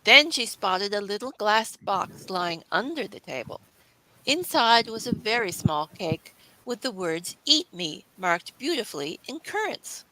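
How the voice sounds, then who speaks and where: A woman's voice: mid-range voice, very animated, unhurried, sharp tone, rough recording. A woman reading scripted children's story narration as the storybook's narrator.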